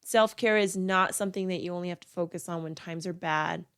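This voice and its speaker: A woman's voice: fairly low pitch, brisk, fairly bright tone, clear, resonant tone. Congested woman hosting a podcast. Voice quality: nasal. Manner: earnest, repeats words for emphasis. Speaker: podcast host. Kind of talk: solo podcast talk.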